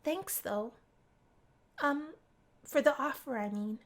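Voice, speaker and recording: A woman's voice: high-pitched, slow pace. A woman voicing an awkward, polite young female student in a visual novel, hesitant and stammering. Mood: friendly, polite.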